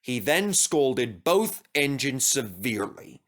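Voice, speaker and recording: A man's voice: medium pitch, sing-song, takes it slowly, a bit bright. A man narrating a scripted children's story.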